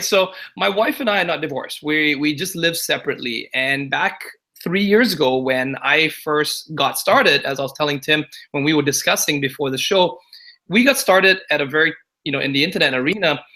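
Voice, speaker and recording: A man in his forties, originally from Singapore, speaks as an interview guest with a bright, forward voice pitched a little high. He talks in a loose, confiding way, stacking "you know" and "right?" as he goes. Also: fairly quick, poor audio. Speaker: guest entrepreneur. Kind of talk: casual interview talk.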